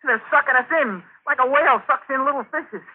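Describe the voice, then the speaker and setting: A man acting a cocky, excitable boy space pilot in an old science fiction radio drama, slangy and boastful. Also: very high-pitched, a little fast, breathy edge. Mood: alarmed, awed. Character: cocky excitable boy joyriding in a rocket ship. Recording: tinny band-limited old radio, faint noise.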